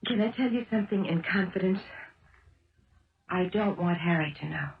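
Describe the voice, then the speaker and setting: An American actress playing a troubled, determined detective's wife who pleads hesitantly in a 1970s radio drama. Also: female voice, on the low side, normal speed, vocal fry. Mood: hesitant, guarded. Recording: tinny old radio broadcast.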